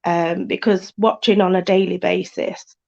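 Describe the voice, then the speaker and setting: Slow, gravelly English woman caller. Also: fairly low pitch, flat delivery, darkish tone. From United Kingdom. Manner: hesitant, many fillers. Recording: muffled call audio.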